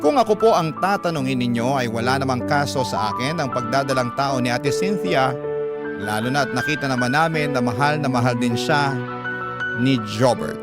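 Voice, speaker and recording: A man's voice: medium pitch, lively intonation, moderate pace. A man reading a scripted first-person story as a radio storyteller. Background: loud instrumental music.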